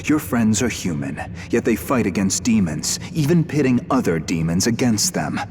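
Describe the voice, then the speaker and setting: A man's voice: fairly low pitch, big pitch swings, moderate pace, a little hiss or hum. An actor playing a ruthless, contemptuous man who speaks curtly and coldly. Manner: cold, contemptuous, curt. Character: cold, ruthless young man, demon-fighter. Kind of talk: game cutscene acting. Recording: reverberant mix with loud game music.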